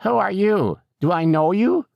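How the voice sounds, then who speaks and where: A man voicing an indignant, confused old woman in an audiobook, loud and stubborn as she protests. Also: high-pitched, expressive, measured pace, creaky, no echo, lo-fi sound, pitched above the performer's own voice, exaggerated voice. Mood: suspicious.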